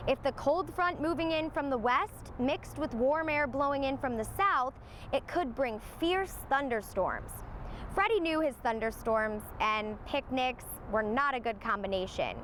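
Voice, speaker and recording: A woman reading a children's story aloud as scripted narration, in an expressive manner. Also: sits high, a little slow, slight background noise. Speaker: storybook reader, meteorologist.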